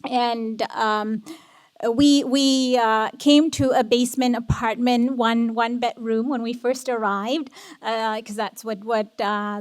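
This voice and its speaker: A woman's voice: on the high side, no echo. A middle-aged woman telling her personal story as a panelist at a public event, earnest, with many fillers and restarts.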